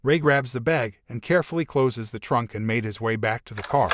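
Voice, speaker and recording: A man's voice: mid-range voice, fairly quick. Male narrator for a scripted crime story, medium register, slight background noise and clicks.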